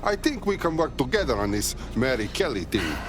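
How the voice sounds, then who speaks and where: A man's voice: mid-range voice, very animated, fairly quick, music in the background, close to an everyday voice. A man playing a brash, foul-mouthed resistance operative in a video game, talking in blunt, clipped sentences. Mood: brash, impressed. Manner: blunt, clipped phrasing with dropped words. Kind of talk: video game cutscene acting.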